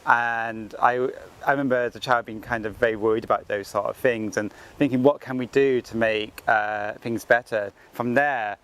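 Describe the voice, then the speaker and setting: A man with an English accent, speaking thoughtfully in an interview and pausing with frequent fillers. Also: medium pitch, steady tone, fairly bright tone, smooth. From England. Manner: thoughtful, with many ums and likes. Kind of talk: reflective personal account.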